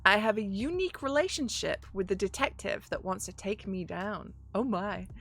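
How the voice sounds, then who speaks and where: Woman game master, bright clear voice, upbeat, quick to laugh, reads rules aloud, faint music behind. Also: mid-range voice, expressive. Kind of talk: running a tabletop game over a stream.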